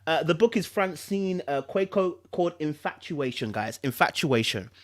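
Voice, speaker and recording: A man with a slightly high, very lively voice hosts a dramatic reading. He slips between narration and chatty reactions, repeating phrases for emphasis. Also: moderate pace. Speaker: host reading a book aloud.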